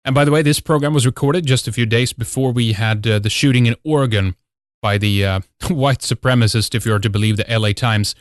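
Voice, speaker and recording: A man's voice: mid-range voice, fairly quick, no room sound, clean studio sound. A man from Sweden with a Swedish accent, hosting a talk radio show. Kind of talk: talk radio monologue and guest introduction.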